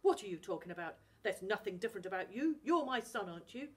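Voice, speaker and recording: A woman reading a story aloud, voicing a stern father who scolds loudly. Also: mid-range voice, expressive, fast, slightly rough. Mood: angry. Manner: loud scolding. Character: gruff Scottish fisherman father, stern.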